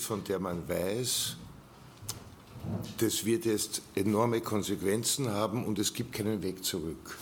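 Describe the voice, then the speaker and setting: An older man, a grandfather and writer, talking at an author evening with a very low voice. He speaks in a measured, thoughtful way and chuckles now and then. Faint hiss and room ambience sit behind him. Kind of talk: panel discussion at a reading.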